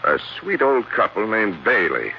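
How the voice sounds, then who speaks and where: An actor playing an earnest investigator with an American accent and a grave, emphatic delivery in a 1940s radio drama. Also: male voice, lowish voice, sing-song, measured pace. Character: earnest male investigator laying out suspicious case. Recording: dull, hissy 1940s radio recording.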